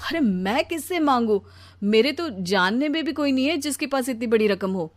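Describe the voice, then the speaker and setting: A woman's voice: a little high, animated, moderate pace, dry recording, natural voice. A woman voicing a weary, meek young husband with a plaintive, protesting manner in an audio story. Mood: reluctant.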